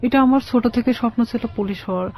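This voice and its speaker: A Bangladeshi woman giving personal testimony as an interview guest, in a halting, plain-spoken way. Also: on the high side. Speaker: guest telling her life story. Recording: dull, muffled lo-fi sound.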